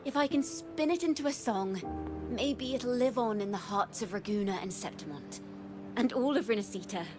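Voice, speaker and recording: A woman's voice: on the high side, normal speed, close to an everyday voice. A woman voicing a theatrical young bard in a video game, telling tales in a lilting, poetic, dramatic manner. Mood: wistful storytelling. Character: theatrical young female bard, lyrical and cheerful storyteller. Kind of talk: video game voice acting, storytelling. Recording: music behind the voice.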